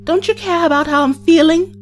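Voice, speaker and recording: A woman's voice: very high voice, brisk, faint music behind. A woman playing a time traveller's hurt young companion in an audio drama, earnest and reproachful. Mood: upset, pleading.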